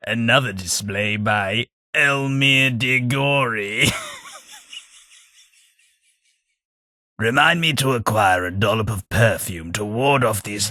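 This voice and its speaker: An actor playing a sardonic, centuries-old undead British aristocrat ghoul companion in a game, with a dry, sarcastic posh drawl and a British accent. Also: male voice, fairly low pitch, pushed and bright, dry recording, heavily acted. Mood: disdainful. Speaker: companion character. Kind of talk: game voice lines.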